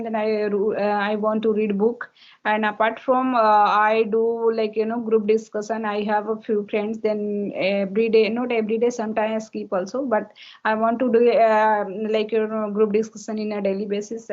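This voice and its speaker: A woman in her thirties from India, a native Hindi speaker with an Indian English accent, answering questions in a halting practice conversation full of fillers over an online call. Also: on the high side, darkish tone. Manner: halting, many fillers and restarts. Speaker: English learner, homemaker. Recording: dull lo-fi call audio.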